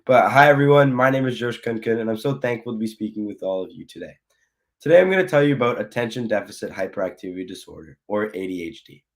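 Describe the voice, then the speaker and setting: A young man with a croaky voice from losing it, giving a personal talk as a guest speaker in a presentation. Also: lowish voice, expressive, quick pace. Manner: earnest, apologetic, acts out his own thoughts. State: hoarse, losing his voice.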